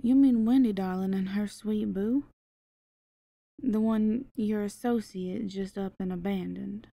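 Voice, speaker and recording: A woman's voice: mid-range voice, measured pace, gravelly, mellow, no room sound. A woman playing a sardonic, exasperated small-town mother in an audio drama, blunt and sarcastic. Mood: teasing, dry.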